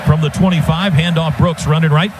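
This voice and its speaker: A man's voice: a little high, steady tone, brisk. A man doing live radio play-by-play of a football game in urgent, clipped present-tense phrases. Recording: noisy live stadium radio broadcast.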